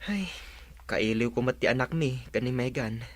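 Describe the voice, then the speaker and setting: A man's voice: mid-range voice, normal speed, lightly acted. An actor with a Filipino accent playing an earnest young father in a radio drama. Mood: subdued, wistful. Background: loud music.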